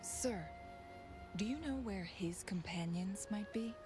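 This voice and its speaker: An actress playing a composed young noblewoman in a game, speaking in a calm, measured way. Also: mid-range voice, over music, close to an everyday voice. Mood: reassuring. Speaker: game character. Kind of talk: game voice acting.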